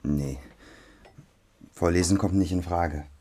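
An actor playing a shy, self-doubting young poet in an intimate radio drama, halting and terse. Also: male voice, low voice, warm tone. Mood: reserved.

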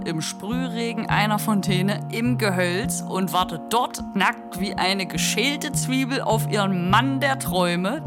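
Lowish woman narrator over loud music. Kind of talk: scripted radio play narration, literary.

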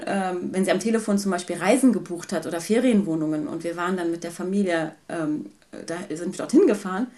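A woman from Germany, a guest in an interview, talking about her life in a thoughtful, hesitant way with many pauses. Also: mid-range voice, a little mellow. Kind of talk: personal conversation.

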